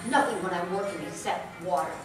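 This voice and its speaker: A woman's voice: fairly low pitch, fairly quick, close to an everyday voice. A woman playing an anxious young singer caught up with spies, speaking urgently in a live 1940s radio play. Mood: worried. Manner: urgent, worried. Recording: echoey hall from far off with music.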